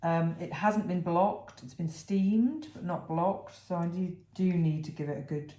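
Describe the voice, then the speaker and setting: A middle-aged English woman with a croaky voice, chatting loosely about her knitting, full of fillers. Her tone is lowish and mellow. The sound carries an echo, as if the room is bare. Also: measured pace. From England. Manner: chatty, rambling, many fillers. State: croaky voice after illness. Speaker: podcast co-host. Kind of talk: casual knitting chat. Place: home room.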